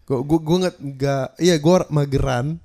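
A young man talks casually as a guest on a music chat show. His voice is warm and dark in tone, and he speaks in a relaxed, halting way, often restarting his sentences. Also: a little high, creaky.

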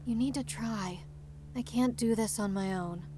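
A woman's voice: on the high side, a little slow, background noise, natural voice. A woman playing a caring but weary young partner, earnest and direct, in a scripted audio drama. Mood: frustrated, pleading.

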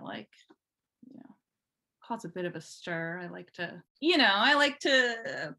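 A woman's voice: medium pitch, bright, forceful tone. Chatty woman in casual interview chat. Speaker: podcast guest, fan fiction writer.

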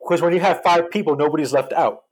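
A man's voice: a little high, fast, breathy edge, rough recording. A man in his early forties talking casually as a podcast guest, explaining his thinking and checking that listeners follow. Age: early 40s. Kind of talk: casual group chat.

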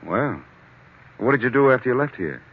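An actor playing a brisk, exasperated business partner in an old radio drama, insistent and full of sharp questions. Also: male voice, mid-range voice, big pitch swings, a little hiss or hum. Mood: exasperated, concerned. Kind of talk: 1940s radio drama acting. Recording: muffled vintage radio recording.

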